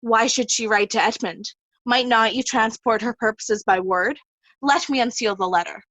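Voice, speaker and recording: Woman playing a scheming noblewoman, highish, dry sound. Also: moderate pace, a bit bright, no room sound, poor audio. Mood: coaxing, commanding. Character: scheming, jealous noblewoman Regan, coolly commanding. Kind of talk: amateur Shakespeare reader's theatre.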